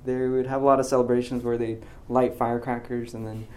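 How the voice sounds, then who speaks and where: A young man in his twenties, a graduating physics student, talking in a casual interview, soft-spoken and hesitant with many ums and restarts. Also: medium pitch, flat delivery, a little fast. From United States. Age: 20s.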